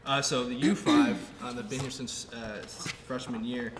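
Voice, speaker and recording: A man's voice: mid-range voice, moderate pace, crowd noise. A man hosting a casual round-table interview, asking questions with lots of fillers. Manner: relaxed, filler-heavy questioning with frequent laughs. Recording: echoey room with background noise.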